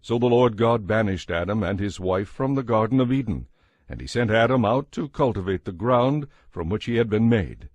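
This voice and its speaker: A man's voice: lowish voice, lively intonation, normal speed, darkish tone, no echo. A man reading scripted narration for a dramatized audio Bible.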